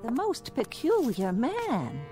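An actress playing a devoted, fussing pastor's wife in a radio drama. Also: female voice, sits high, wildly swooping pitch, slow pace, mellow, soft background music, heavily acted. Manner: solicitous and fussing.

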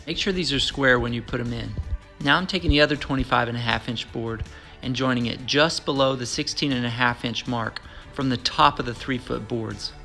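A man narrating a woodworking build over faint background music. His delivery is plain and measured, walking through each cut and measurement as a practiced how-to voice-over. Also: mid-range voice, gravelly, pushed and bright. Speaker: DIY woodworking instructor. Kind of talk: scripted step-by-step voice-over narration.

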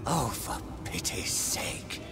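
An actor playing an elderly fanatical high churchman and megalomaniac villain in a dramatic video game, grandiose, swinging from mocking softness to roaring. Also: over loud music, natural voice. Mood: mocking, weary. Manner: slow, grandiose, mockingly soft then roaring.

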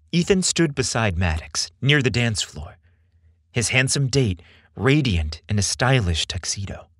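A man narrates fiction in a theatrical, deliberate way. His delivery is slow and very animated in pitch, with a husky edge, recorded dry and close. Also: no echo. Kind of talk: scripted dramatic story narration.

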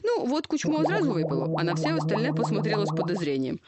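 High-pitched, animated male satirical storyteller over music. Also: fast talker. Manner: mock fairy-tale, colloquial, ironic. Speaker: narrator. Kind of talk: scripted satirical storytelling for a cartoon.

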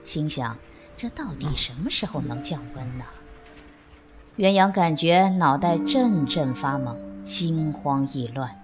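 A woman's voice: deep voice, animated, a little slow. Woman narrating a radio drama over music. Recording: background music.

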